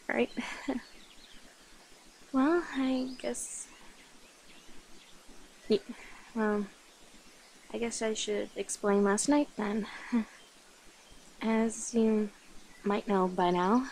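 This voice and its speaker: A woman playing a shy, playful and protective centuries-old vampire in an intimate ASMR roleplay, speaking softly and hesitantly. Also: mid-range voice. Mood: nervous, playful. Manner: hesitant, soft-spoken, breathy whispers, nervous giggles. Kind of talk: ASMR roleplay, intimate one-sided dialogue.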